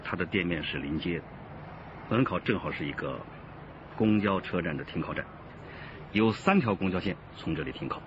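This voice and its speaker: Deep-voiced, animated police detective chief. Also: male voice, normal speed, long pauses, background noise. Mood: grave briefing. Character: steady, commanding, patient male police detective chief. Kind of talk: Chinese radio crime drama acting. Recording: muffled band-limited audio with music behind.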